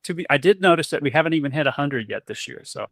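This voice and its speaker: A man's voice: fairly high pitch, animated, fast, vocal fry, no room sound, studio quality. A man hosting a podcast, talking casually and rambling a little with frequent restarts. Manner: rambling, friendly, many restarts. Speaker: podcast host. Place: Texas.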